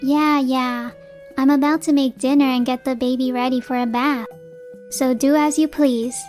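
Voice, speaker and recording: A woman's voice: high voice, steady tone, moderate pace, darkish tone, faint music behind, natural voice. An actress playing a determined, exasperated young working wife and mother, narrating and speaking dialogue in a manga dub. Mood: curt, resigned. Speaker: narrator and lead character.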